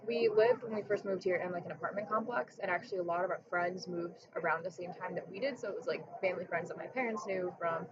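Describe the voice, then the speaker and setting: Fast-talking young American woman, lo-fi. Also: on the low side, background noise. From Russia. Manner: chatty, frequent "like" fillers. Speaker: interviewee. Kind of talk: casual personal interview.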